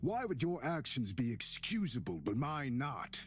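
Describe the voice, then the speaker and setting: A man voicing a bitter, mocking centuries-old demon in a young man's body, with theatrical drawn-out delivery, for a visual novel game. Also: medium pitch, sing-song. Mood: bitter, taunting. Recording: music behind the voice.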